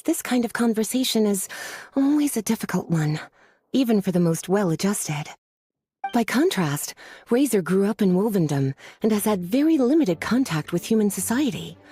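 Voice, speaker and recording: A woman playing a gentle, coy teacher in a video game, speaking affectionately in measured phrases. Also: medium pitch, natural voice. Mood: coy, thoughtful. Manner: affectionate, softly teasing, measured.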